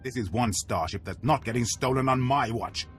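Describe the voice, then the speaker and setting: A man's voice: mid-range voice, moderate pace, fairly bright tone, faint music behind, natural voice. An actor playing a hostile, blustering male spaceport guard who hurls insults.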